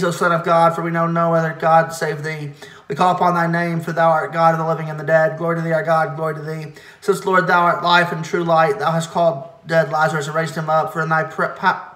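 A man solemnly reciting liturgical hymn verses in a measured way, now and then stumbling over a word. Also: a little high, a bit bright. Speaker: reader of church liturgy. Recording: echoey, reverberant room.

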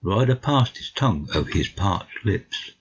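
A man with a husky voice giving a scripted narration of a classic story for an audiobook, slightly muffled. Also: lowish voice, big pitch swings, unhurried, fairly bright tone, slight background noise.

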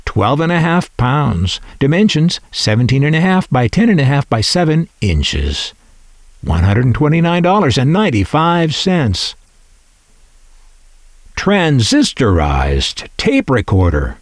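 A man narrating scripted catalog copy in a measured, enunciated announcer-like manner. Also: mid-range voice, no echo, studio quality.

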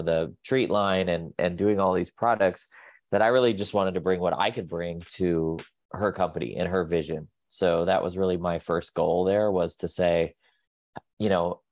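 A very low-pitched man with a nasal voice, sounding sick with a cold. He rambles and restarts often as a guest on a video call, and his voice comes across dry and close. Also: a little mellow, no room sound. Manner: rambling, many fillers and restarts. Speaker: guest, pet nutrition company staff. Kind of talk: casual video call interview. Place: home office.

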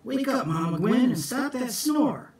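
A man's voice: very high voice, very animated, a little slow, voice pushed up, full character voice. A man voicing a spirited young country boy who calls out emphatically in a storybook. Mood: bossy, insistent. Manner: loud, emphatic calling out. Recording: echoey room, distant phone mic.

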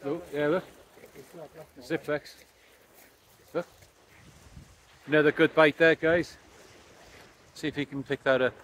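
Angler, middle-aged, chatty, talking to a close camera outdoors, slightly high voice, faint wind and water behind him. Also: male voice, steady tone, slow, long pauses, fairly bright tone. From Wales. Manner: chatty, explaining as he works. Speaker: fishing vlogger. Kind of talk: casual chat to camera. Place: outdoors on a pebbly beach by the sea. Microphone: camera close up, held or on a stand.

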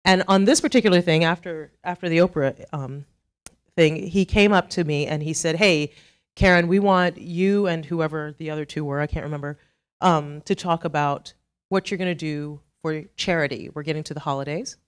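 A woman's voice: low voice, moderate pace, clear, resonant tone. A middle-aged woman from Trinidad with a Trinidadian accent, giving a chatty, self-deprecating conference talk full of asides. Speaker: conference speaker. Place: conference hall.

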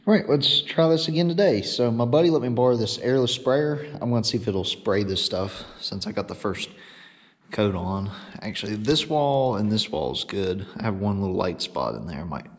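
A man's voice: on the low side, animated, normal speed. A man talking casually to the camera as a DIY vlogger, with a plain-spoken, laid-back manner, recorded on an action camera in a concrete-block basement. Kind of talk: casual talk to camera while working.

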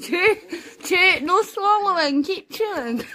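High-pitched giggling woman filming. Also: a bit bright. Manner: laughing constantly, teasing, bossy. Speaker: person filming a friend's chilli challenge. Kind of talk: casual teasing chat behind the camera. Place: small cluttered flat indoors. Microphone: phone held by the speaker.

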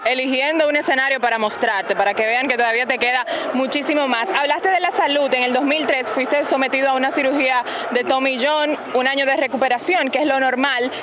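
A woman's voice: sits high, a little fast, hardly any pauses. A woman sports reporter interviewing a ballplayer outdoors on a baseball field, speaking into a handheld mic with a polished, announcer-like delivery. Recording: noisy outdoor stadium ambience.

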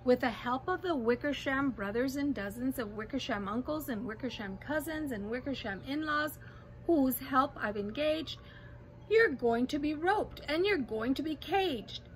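A woman's voice: high-pitched, expressive, moderate pace, a little hiss or hum, low-quality recording. A woman voicing a bossy, scornful big kangaroo in a storybook, snappish and haughty. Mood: gloating, threatening.

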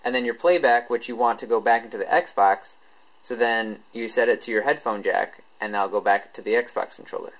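A man presenting a tech tutorial in a casual, informal, unscripted way, halting as he explains each step. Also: medium pitch, fairly bright tone, rough recording.

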